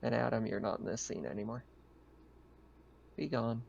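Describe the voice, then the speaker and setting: A man casually narrating a tabletop roleplaying game as game master, describing scenes in a halting, improvised way. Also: medium pitch, creaky, muffled recording, background noise.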